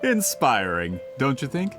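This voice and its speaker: Courteous, animated gentleman writer. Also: mid-range voice, unhurried, soft background music. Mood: persuasive. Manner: courteous, ingratiating, articulate. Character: polite, persuasive gentleman writer, smooth and eager. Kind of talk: video game voice acting.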